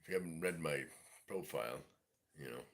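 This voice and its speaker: A man's voice: rumbling low, a little fast, a bit bright. A man in his forties with a Brooklyn New York accent, rambling casually and profanely on a livestream, full of "you know". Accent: New York City. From East New York, Brooklyn. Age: 40s. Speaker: livestream host. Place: home in Mississippi.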